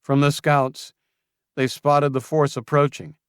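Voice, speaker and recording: A cornered young traitor, performed by a man, talks fast and defensively, his voice pushed well above the reader's own deep register and louder. He pleads and snaps in the same breath, in a dry, close storybook reading. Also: little pitch movement, clean tone, dry recording, natural voice. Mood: defensive, pleading.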